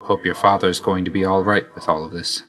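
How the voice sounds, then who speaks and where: An actor playing a reserved, dutiful god of death in a video game, speaking in a measured, formal, understated way. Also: male voice, deep voice, flat delivery, a bit bright. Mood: reserved, quietly fond. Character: male god of death, reserved, dutiful, weary, quietly fond. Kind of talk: video game character voice lines.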